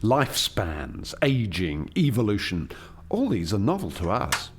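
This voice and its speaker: A man's voice: on the low side, wildly swooping pitch, a little slow, a little hiss or hum, full character voice. An actor playing an earnest alien scientist in a radio play, hesitant and deferential as he explains and defends his work. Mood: calm, explanatory.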